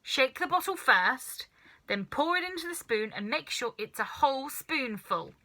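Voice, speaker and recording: A woman's voice: sits high, sing-song, measured pace, bright, forceful tone, full character voice. A woman voicing a cranky, bossy, wicked old grandmother from a children's storybook, snapping and scolding. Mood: snappish, bossy. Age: elderly.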